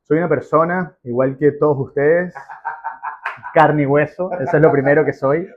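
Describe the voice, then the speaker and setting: A man's voice: a little high, fairly even intonation, a little slow. A man with a Venezuelan accent answering as an interview guest, explaining emphatically in a conversational way and repeating phrases. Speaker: podcast guest, business professional. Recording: muffled, phone-like narrow band.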